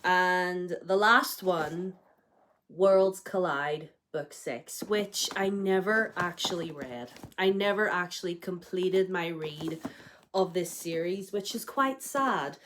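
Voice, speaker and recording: A woman's voice: fairly low pitch, takes it slowly, a bit bright, slight background noise. A young woman with a cold chatting casually about books she bought, with plenty of ums and likes. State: has a cold, may cough. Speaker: book vlogger. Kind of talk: casual chat.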